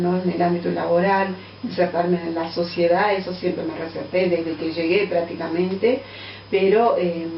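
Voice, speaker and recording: A middle-aged woman from Angola with a Portuguese-tinged accent, a guest answering interview questions thoughtfully, with many pauses and fillers. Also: fairly low pitch, slight background noise. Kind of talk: radio interview. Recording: distant, roomy, dull lo-fi radio sound.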